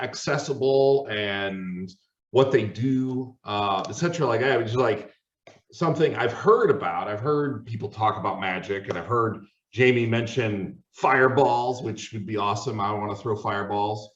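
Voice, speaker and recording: A low-voiced man hosts a loose, unscripted chat. He asks questions in a halting, wandering way. The sound is roomy, distant and somewhat dull. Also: a bit bright. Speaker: host of a discussion show. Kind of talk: casual unscripted panel chat. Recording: roomy, dull audio from far off.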